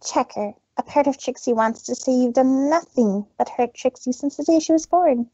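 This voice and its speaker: A woman's voice: high voice, normal speed, dark tone, husky, dry recording, lo-fi sound. A woman voicing a proud, dramatic young mare in a story reading, declamatory and emphatic. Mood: dramatic, resolute. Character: proud, dramatic young mare speaking of herself in third person.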